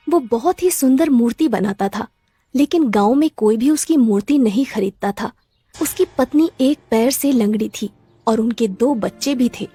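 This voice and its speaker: A woman's voice: a little high, warm tone, slightly rough. A woman narrator reading a scripted children's story.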